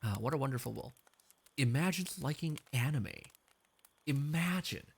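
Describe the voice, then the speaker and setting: A male streamer with a gravelly edge to his voice, chatting in a relaxed, joking way between songs. His pitch swings widely as he teases his chat and reads comments aloud. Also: normal speed. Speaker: VTuber streamer.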